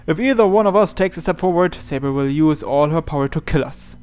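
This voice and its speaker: A man's voice: fairly high pitch, brisk, clean tone. A man reading first-person visual novel narration aloud as he plays. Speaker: game player reading aloud. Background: soft game music.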